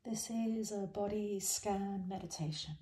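Female meditation guide: dark, soft-toned and soothing, very slow delivery, gentle phrasing. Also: mid-range voice, steady tone. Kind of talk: guided body scan meditation.

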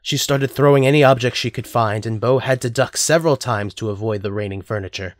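A man's voice: mid-range voice, a little fast, dry recording, studio quality. A man narrating a scripted story as the narrator of an audio drama.

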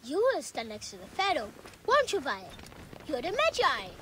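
Shrill-pitched teasing kid. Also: female voice, normal speed, noisy background. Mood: taunting. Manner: teasing, excitable. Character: bold, teasing young child, boy's taunting friend. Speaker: video game character. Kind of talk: game cutscene acting.

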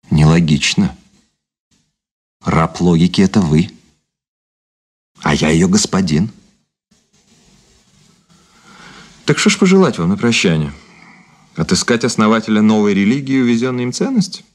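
A male actor playing a cynical, mocking former detective officer in a Soviet radio drama, with a theatrical, measured delivery. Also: low-pitched, expressive. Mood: mocking, ironic.

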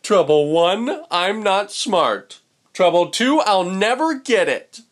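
A man voicing a gloomy, self-doubting young fish in a storybook, with drawn-out, dramatic complaints. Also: high-pitched, big pitch swings, pitched above the performer's own voice, exaggerated voice. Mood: woeful.

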